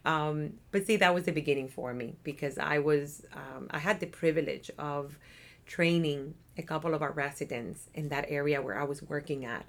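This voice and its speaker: A woman's voice: low voice, moderate pace. A woman in her thirties from Cuba with a Cuban Spanish accent, chatting as an interview guest about her nonprofit work and often tagging on "right?". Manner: talkative, upbeat, often adds "right?". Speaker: interview guest, nonprofit community development worker. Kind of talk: casual interview chat. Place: office.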